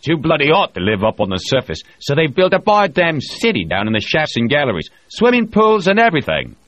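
A man doing a loud, cursing, boisterous man. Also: on the high side, huge pitch swings, a little fast, pitched above the performer's own voice. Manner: boisterous, swearing freely. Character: loud profane male miner-type storyteller.

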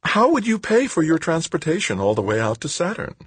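A falsely cheerful middle-aged woman official from an audiobook, acted out by a man. The voice is lifted high and husky, lilting in a sing-song. The manner is sugary, pious and condescending, as if addressing a small child. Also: wildly swooping pitch, moderate pace, a little mellow, dry recording, heavily acted. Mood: patronizing. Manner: sing-song, condescending schoolteacher tone, syrupy sweet. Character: plump fortyish woman bureaucrat, falsely cheerful, patronizing, pious. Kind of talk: audiobook character dialogue.

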